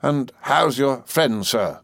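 A man's voice: medium pitch, animated, slow pace, vocal fry, fairly bright tone, no room sound, clean studio sound, pitched above the performer's own voice, exaggerated voice. Man acting a hearty rustic old fisherman. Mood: jovial. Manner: exclamatory, admiring, folksy. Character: hearty, fond, rustic older English fisherman.

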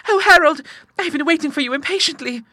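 An affectionate young lady from an audiobook novel, put on by a male narrator. Her voice is high, bright and fervent, full of eagerness. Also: fast, dry recording, heavily acted. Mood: eager.

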